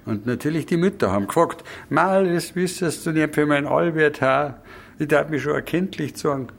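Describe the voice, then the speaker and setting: A man's voice: on the high side, moderate pace, creaky, slight background noise. An actor playing an opinionated, matter-of-fact village man explaining old customs in a radio drama, with a Bavarian accent. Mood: knowing, then wheedling.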